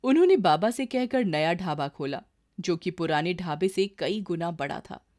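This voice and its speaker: A woman's voice: fairly low pitch, darkish tone. A woman reading a scripted story as its narrator.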